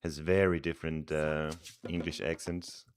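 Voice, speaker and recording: A man's voice: a bass voice, flat delivery, normal speed. A man from Denmark with a Danish accent, casually commenting on his game with wry asides. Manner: wry, thinking aloud. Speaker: streamer.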